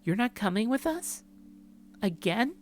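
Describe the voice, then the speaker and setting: A man's voice: sits high, very animated, unhurried, a little hiss or hum, pitched above the performer's own voice. A man voicing a cheerful, friendly young woman who talks in an eager, sweetly pleading way in a visual novel.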